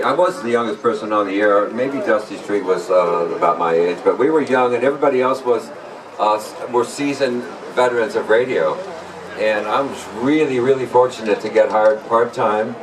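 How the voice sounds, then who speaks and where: A man in his sixties, a former disc jockey, earnestly reminiscing to a live audience. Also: medium pitch. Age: 60s. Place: live event room with audience. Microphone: event microphone, recorded from a distance. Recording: distant mic in noisy room.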